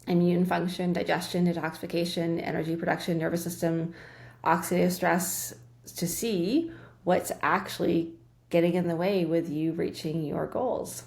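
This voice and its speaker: Gravelly low-voiced woman guest. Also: steady tone, darkish tone. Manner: quick, earnest, many restarts. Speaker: guest, nutrition practitioner. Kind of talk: casual interview chat.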